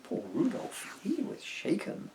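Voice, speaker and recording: A man reading a rhyming children's storybook aloud with an expressive storytelling manner. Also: slow pace, slight background noise. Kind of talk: children's storybook narration in rhyme.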